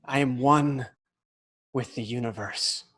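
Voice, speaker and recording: An actor reading a mischievous younger brother who speaks with mock solemnity. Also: male voice, mid-range voice, slow pace, a bit bright, breathy edge.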